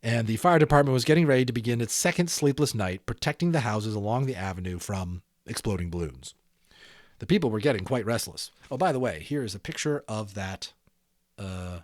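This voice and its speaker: A man's voice: on the low side, a little fast, dry recording, clean studio sound. A man narrating a children's audiobook expressively, with dramatic emphasis and chatty asides to listeners.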